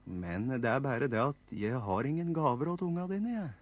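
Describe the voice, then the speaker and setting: A man's voice: medium pitch, very animated, no room sound, pitched above the performer's own voice. A man voicing a modest middle-aged carpenter who speaks mildly and hesitantly. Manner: hesitant, mild.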